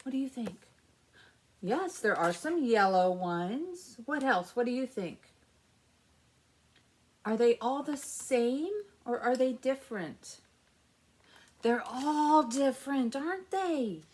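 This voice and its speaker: A woman's voice: sits high, animated, slow pace, pauses often, darkish tone, a little hiss or hum. A woman presenting story time for young children, reading aloud in an exaggerated sing-song way and asking the children questions.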